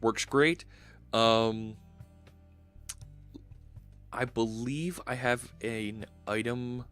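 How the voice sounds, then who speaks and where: A man's voice: mid-range voice, extremely slow, fairly bright tone, clear, resonant tone, faint music behind. A man in his forties with a Philadelphia accent, hosting a casual coding live stream through a headset and thinking aloud with lots of fillers. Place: home studio, indoors.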